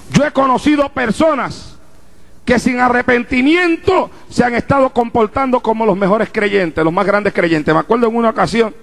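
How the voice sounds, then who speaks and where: A man preaching a fervent sermon to a congregation, rising into shouts and calling out rhetorical questions. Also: sits high, vocal fry. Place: church before a congregation.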